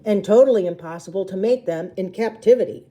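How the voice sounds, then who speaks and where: A woman's voice: medium pitch, brisk, mellow, close to an everyday voice. A woman voicing a nasty, bullying man in a storybook, with a mocking, emphatic, sarcastic delivery. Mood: sneering, sarcastic.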